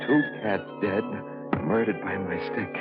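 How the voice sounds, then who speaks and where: A man's voice: low voice, fairly even intonation, measured pace, loud music behind the voice. An actor playing an unhinged middle-aged man acting out a guilt-crazed murderer, declaiming feverishly and repetitively in old radio thriller style. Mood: pained, calculating. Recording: muffled old radio broadcast recording.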